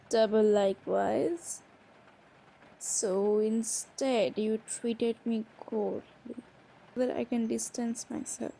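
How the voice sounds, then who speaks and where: Woman acting out a shy flustered girl in a romance roleplay audio, really slow n stammery, kinda high voice, some bg noise. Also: steady tone, creaky, warm tone, exaggerated voice, rough recording. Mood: tender, wondering. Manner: hesitant, stammering, breaking off and repeating words. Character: flustered, shy young woman confessing to her stepbrother.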